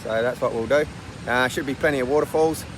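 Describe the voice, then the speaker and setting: A middle-aged Australian man with a croaky voice from a flu, chatting casually to camera in a laid-back, slangy way. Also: medium pitch, fairly quick. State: getting over a flu. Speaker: outdoor adventure vlogger. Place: outdoors and in a vehicle on the road. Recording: noisy outdoor and vehicle background.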